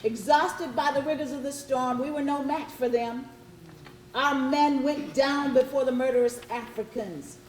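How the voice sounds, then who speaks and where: A woman playing an aggrieved, haughty Spanish slaver giving formal, measured testimony in a readers theatre monologue. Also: sits high, fairly even intonation. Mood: bitter, shaken. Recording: echoey room with faint noise.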